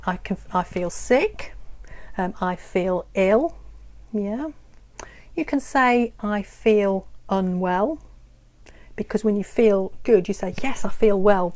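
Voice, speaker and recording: A woman teaching English in a live online lesson, explaining slowly and patiently with many pauses and ums. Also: mid-range voice, darkish tone. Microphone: phone or computer on a live stream.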